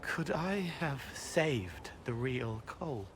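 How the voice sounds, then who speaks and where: An actor voicing a gentle, haunted young spirit man in a video game, hushed and halting. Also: mid-range voice, lively intonation. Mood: pained, hushed. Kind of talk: video game character acting. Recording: clearly noisy ambient background.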